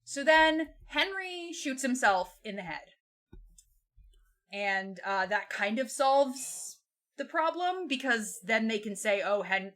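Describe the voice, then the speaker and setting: Bright-voiced young woman, chatty and informal. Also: mid-range voice. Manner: animated, informal and sweary, acts out quotes in passing. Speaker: podcast co-host. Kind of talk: casual book discussion, retelling a plot.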